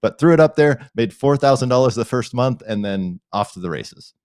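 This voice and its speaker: A man from the United States, a podcast guest, telling his story in a relaxed, self-deprecating way and laughing as he goes. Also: medium pitch, brisk, no echo, clean studio sound. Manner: laid-back, self-deprecating, laughs through his stories. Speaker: podcast guest, software founder. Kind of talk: casual interview storytelling.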